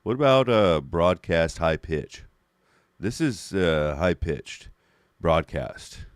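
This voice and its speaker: A man with a deep voice, thinking aloud with many fillers as he tries out settings during a stream. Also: big pitch swings, slow pace, no room sound, studio quality. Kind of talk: casual talk while testing voice settings.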